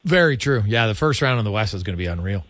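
A man's voice: fairly low pitch, animated, fast, fairly bright tone, no echo. Man hosting sports radio, dry studio sound. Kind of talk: casual interview chat.

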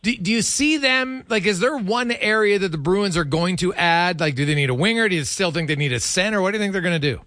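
A man hosts casual sports talk with a bright, forceful voice that sits slightly high. He is recorded close and dry, and he works through his questions conversationally, restarting phrases as he goes. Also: quick pace, few pauses, no room sound. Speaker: sports radio show host.

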